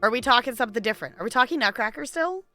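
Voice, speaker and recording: Animated, bright-voiced woman streamer chatting. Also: on the high side, very fast, no room sound, faint music behind. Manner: chatty, rambling, giggly. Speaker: live game streamer. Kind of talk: casual chat with stream viewers.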